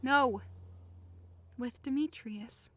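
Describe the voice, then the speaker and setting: A woman's voice: high voice, extremely slow, dry recording, exaggerated voice. A woman playing a timid, lovesick, easily wounded young woman, plaintive and melodramatic, in a modernized Shakespeare audio drama. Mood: forlorn.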